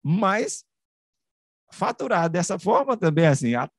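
A man explains in an academic discussion, haltingly, repeating and rephrasing and asking rhetorical questions, close to the microphone. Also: high voice, sing-song, dry recording. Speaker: media researcher on a panel. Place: room with bookshelves, on a video call. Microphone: large microphone close to the mouth.

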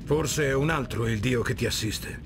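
An actor playing a cautious, thoughtful centaur companion who speaks in a solemn, wondering way. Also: male voice, medium pitch, moderate pace, gravelly, noisy background, natural voice. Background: background music.

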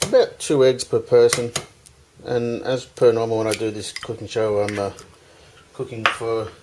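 A man in his thirties hosts a casual home cooking tutorial. His voice sits at an ordinary middle pitch and his delivery is informal and chatty. The kitchen recording has clear background noise. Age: 30s. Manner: informal, addresses viewers as guys. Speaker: cooking show host.

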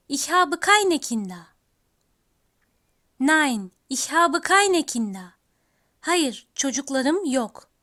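Woman doing slow language drills, high voice, very lively up and down, repeats every line twice. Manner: reads each phrase slowly twice, then translates. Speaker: language teacher.

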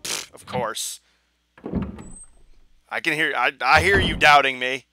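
A young man commenting on a video game as he plays, joking and mocking himself, with a hoarse voice that he says is going. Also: mid-range voice, takes it slowly. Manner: joking, self-mocking, animated. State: hoarse, losing his voice. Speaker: gaming channel host. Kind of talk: casual let's play commentary.

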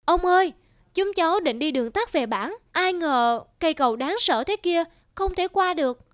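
Woman as a high-voiced timid village child. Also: expressive, moderate pace, no echo, clean studio sound. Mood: anxious. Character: timid village child carrying a basket of greens. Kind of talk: animated folk-tale dubbing. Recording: loud plucked-string music behind.

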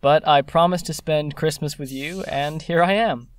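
An actor playing a courteous, worldly young gentleman in a period audio drama, polite and understated. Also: fairly high pitch, moderate pace, dry recording, natural voice. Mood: courteous, reserved.

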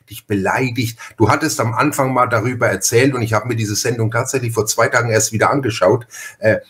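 A man hosts a casual podcast conversation. His voice sits at an average pitch, and he rambles along, often doubling up on words. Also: a bit bright. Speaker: podcast host. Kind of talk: casual chat.

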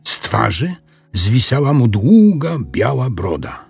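A man narrating a children's audiobook in an expressive storytelling style. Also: mid-range voice, takes it slowly, gravelly, slightly rough, no room sound, soft background music.

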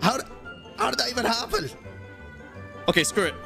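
A man's voice: extremely high pitch, wildly swooping pitch, fairly quick, gravelly. A man in his thirties giving casual, exclamatory livestream commentary as he plays a game. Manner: reactive, exclamatory. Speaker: game streamer. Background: game music.

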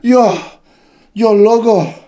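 A man in his thirties chatting casually on a live stream, excitable and slangy, often repeating himself. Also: high-pitched, drawn-out words. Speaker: streamer, prank caller.

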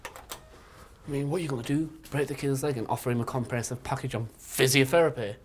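A man's voice: mid-range voice, fairly quick, slightly rough, close to an everyday voice. A young man playing a nervous, sarcastic literature graduate who worries aloud and needles his friend. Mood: sarcastic worried. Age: young adult. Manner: anxious, dry sarcastic jabs. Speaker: actor in amateur short film.

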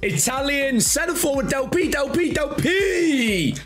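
A young man with a raspy voice doing excitable pack-opening commentary from a small room at home, shouting at big pulls. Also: very high voice, slow pace. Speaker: gaming YouTuber. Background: game music.

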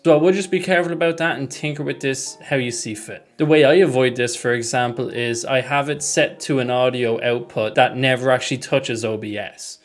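A young man walks through software steps in a casual tutorial style. His mid-pitched voice is picked up by a close desk mic, with music playing behind him. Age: young adult. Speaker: tutorial host. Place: small room at home, indoors. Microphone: desk microphone close in front.

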